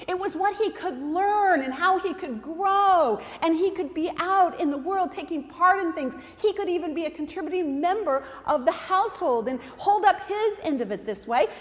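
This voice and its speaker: A woman's voice: very high voice, voice pushed up. A woman playing a devoted, proud mother in a dramatic monologue, telling her story emphatically with conversational asides. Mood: excited, proud. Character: devoted, proud mother of a blind beggar son. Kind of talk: dramatic monologue reading at a church service. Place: church, during a service. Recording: reverberant church hall.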